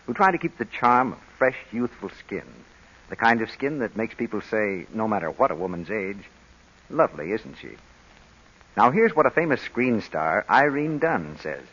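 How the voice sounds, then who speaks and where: Male vintage radio announcer, low husky voice, very animated intonation, muffled broadcast sound. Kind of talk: 1930s-40s radio announcing and sponsor ad.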